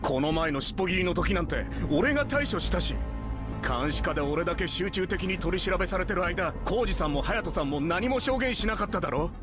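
High-pitched, whiny young henchman. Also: lively intonation, brisk, over music. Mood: aggrieved pleading. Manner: agitated, whiny, defensive. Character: anxious, complaining young male junior gang member. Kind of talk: dramatic video game acting.

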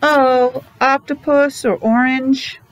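A middle-aged woman teaching young children in simple, deliberate phrases with chatty asides, speaking through a face mask. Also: high voice, steady tone, poor audio. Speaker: preschool teacher. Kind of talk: children's classroom lesson. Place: small indoor classroom.

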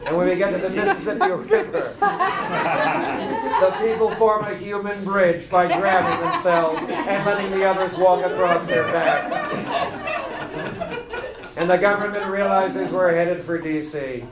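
Casting: male comic reader, highish pitch, roomy live sound a little off the mic. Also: measured pace, over a noisy crowd. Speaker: guest reader at a book party. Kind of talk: live comedic reading from a book. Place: indoor room at a live party. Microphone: microphone on a lectern stand. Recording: roomy live event, loud laughing audience, distant mic. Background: loud audience laughter.

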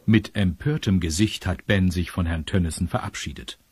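A man with a husky voice reading scripted narration for a children's audio story. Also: low-pitched, moderate pace, a little mellow. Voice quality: husky, raspy. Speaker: narrator of a children's radio play.

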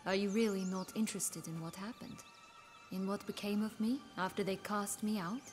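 Game casting: actress as commanding noblewoman, thirties, mid-pitched voice, playful and imperious, articulate, over a score. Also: fairly even intonation, moderate pace, close to an everyday voice. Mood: cool, probing. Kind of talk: video game cutscene acting. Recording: music under the voice.

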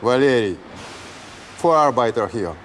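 Gruff Russian foreman, slow broken German, Russian accent, swinging intonation, mocking, radio drama. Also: medium pitch, lively intonation, a bit bright, faint music behind. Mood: evasive, mocking. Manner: broken German, short blunt taunting phrases. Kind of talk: naturalistic radio drama acting.

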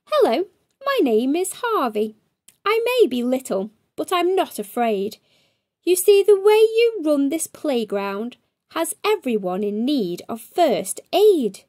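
A brave, kind little storybook boy, acted out by a woman, speaks up earnestly. The voice is high and lively, with plain-spoken conviction. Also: takes it slowly, exaggerated voice.

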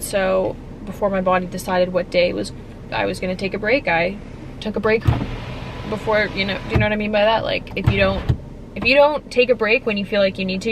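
A woman's voice: mid-range voice, roomy sound, low-quality recording. Chatty young woman vlogging at arm's length. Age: young adult. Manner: chatty, lots of "like" fillers, candid and tired. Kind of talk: casual vlog chat to camera. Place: home bathroom, kitchen and car, indoors. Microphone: handheld camera at arm's length.

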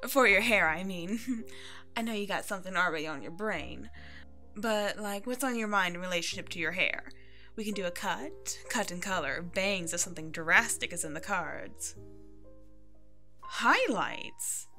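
A woman's voice: medium pitch, unhurried, sharp tone. A woman playing a chatty, caring, playful hairstylist who comforts a client in an ASMR roleplay. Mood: cheerful, welcoming. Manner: chatty, bubbly, reassuring. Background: background music.

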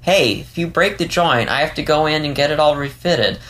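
A man's voice: fairly high pitch, moderate pace, a bit bright, pitched above the performer's own voice, natural voice. A man voicing a playful, candid young male fox in an audiobook story, rambling with hesitant stammers. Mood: exasperated, self-conscious.

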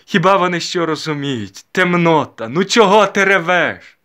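A man's voice: fairly high pitch, lively intonation, moderate pace, fairly bright tone, pitched above the performer's own voice. Man playing a harsh, barking estate steward, raised voice. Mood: contemptuous anger. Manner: scornful, barking. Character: harsh, contemptuous male estate steward, bullying.

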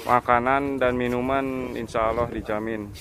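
A man's voice: medium pitch, little pitch movement, normal speed, noisy background. A man from Indonesia chatting casually as a travel vlogger, guiding viewers along as he walks outdoors by the sea with a handheld phone. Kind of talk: casual walking vlog chat. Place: outdoors along a seaside walkway.

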